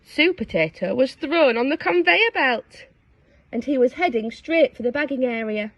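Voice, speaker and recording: A woman reading a storybook aloud to young children, dramatic and expressive, engaging her listeners with questions. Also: sits high, normal speed, dry recording, muffled recording. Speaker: storybook reader.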